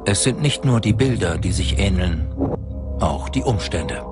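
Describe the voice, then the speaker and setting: A man's voice: deep voice, lively intonation, unhurried. A man reading scripted documentary narration as the narrator. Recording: loud music under the voice. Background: loud music.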